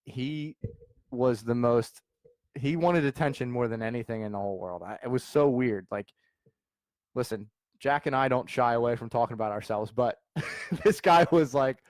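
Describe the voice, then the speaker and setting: Male podcast co-host, mid-pitch, animated casual storyteller, dry close mic. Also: moderate pace, no echo. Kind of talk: casual chat. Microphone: studio mic.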